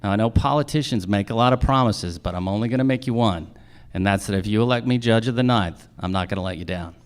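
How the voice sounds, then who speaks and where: A man's voice: fairly low pitch, quick pace, smooth. A middle-aged man giving an emphatic, rhetorical speech as a judicial candidate at a forum. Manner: emphatic, rhetorical questions and repetition. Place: live in a theater.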